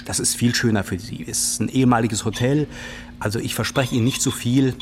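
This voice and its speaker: A man's voice: mid-range voice, a little fast, a little hiss or hum, lightly acted. An actor playing a breezy, soothing male hospital worker in a radio drama. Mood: reassuring.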